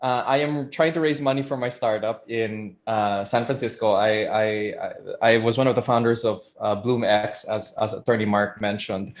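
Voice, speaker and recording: A man's voice: lowish voice, fairly even intonation, normal speed, hardly any pauses, reverberant. A man from the Philippines giving a conversational webinar talk, telling a story with frequent fillers. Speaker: webinar speaker. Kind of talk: presentation talk.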